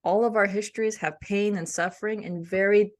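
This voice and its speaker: A woman's voice: mid-range voice, steady tone, brisk. Earnest woman in thoughtful conversation. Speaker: guest, author and cultural intelligence coach. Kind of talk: thoughtful conversational interview answers.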